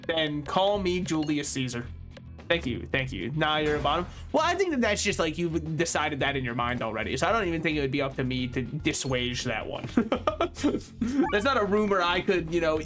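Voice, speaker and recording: Young guy streaming a game, kinda high voice, self-mocking jokes, a bit of music under it. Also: a bit bright. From United States. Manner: joking, rambling, self-mocking. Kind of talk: casual gameplay commentary.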